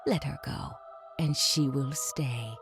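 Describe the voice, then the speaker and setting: A woman playing a serene, eerie older mother superior in a game, speaking in a hushed, breathy, gentle way. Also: very deep voice, slow pace. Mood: eerily serene. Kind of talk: visual novel voice acting. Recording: music behind the voice.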